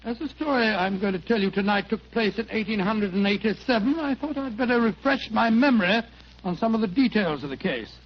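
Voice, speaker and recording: An avuncular older English gentleman with a notably high voice. He tells his story fussily, doubling back on phrases with little hesitations. It is old radio acting, caught on a dull 1940s recording. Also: animated, fairly quick. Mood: genial, chatty.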